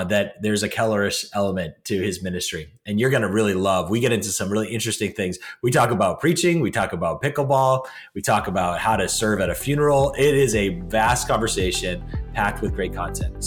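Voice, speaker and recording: Friendly podcast host, low voice. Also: male voice. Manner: upbeat, friendly, fillers like uh and um. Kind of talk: podcast intro and interview.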